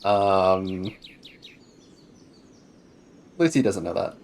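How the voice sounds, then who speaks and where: Male, low register, very unhurried and halting, casual tabletop player talk. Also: lively intonation, a little mellow. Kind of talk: casual tabletop roleplay discussion.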